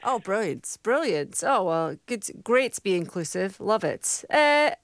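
A woman presenting a radio show, bubbly and quick to laugh, chatting with callers and reading news. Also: mid-range voice, sing-song, no room sound. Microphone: studio microphone.

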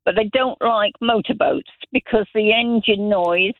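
A man's voice: sits high, a little slow, dry recording. A middle-aged man from Zambia, calling into a radio show and telling long stories with many restarts. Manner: rambling anecdotes, many restarts. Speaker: radio caller. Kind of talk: casual phone-in chat. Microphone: telephone. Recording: tinny dry phone line.

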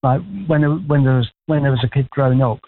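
A sixty-year-old man from Manchester rings in to a radio show and rambles warmly about his life. His voice sits at an ordinary pitch. It comes through a thin, tinny phone line. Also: fairly even intonation, fast talker. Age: 60s. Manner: warm, rambling, chatty. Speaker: caller. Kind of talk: casual phone-in chat. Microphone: telephone.

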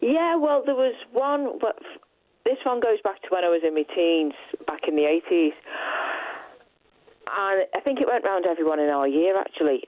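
A woman's voice: mid-range voice, lively intonation, normal speed, dry recording. A woman in her fifties with a Liverpool accent, reminiscing chattily as a caller to a radio show. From Liverpool, England. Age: 50s. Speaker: phone-in caller. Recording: tinny, narrow phone line.

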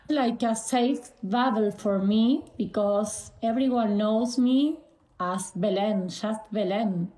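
A woman with an Argentine Spanish accent shares her own experience in an interview. Her voice is soft-edged and mellow, fairly high, and she picks her words carefully in a roomy, echoing space. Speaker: interview guest. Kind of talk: personal account in an interview.

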